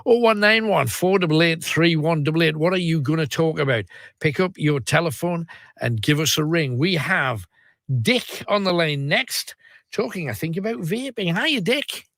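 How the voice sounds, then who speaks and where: A man's voice: fairly high pitch, animated, moderate pace, fairly bright tone, dry recording, studio quality. A man hosting a late-night radio phone-in, chatting casually with callers. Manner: chatty, reads out the phone number. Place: radio studio. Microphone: studio microphone.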